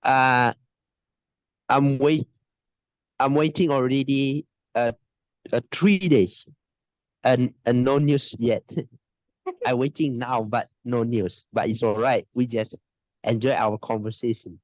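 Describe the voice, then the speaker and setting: A man from Indonesia, an online English teacher, answering interview questions on a video call in a halting way, often restarting and laughing. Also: medium pitch, dry recording. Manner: halting, hesitant speech with many restarts and frequent laughs. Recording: dull, muffled video-call audio.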